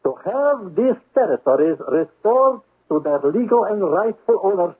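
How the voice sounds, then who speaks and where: High, husky menacing spymaster. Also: male voice, animated, normal speed. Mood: stern, accusing. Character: menacing, accusing Soviet spymaster, a stern male superior. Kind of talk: 1970s radio drama acting. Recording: tinny phone-like old radio recording.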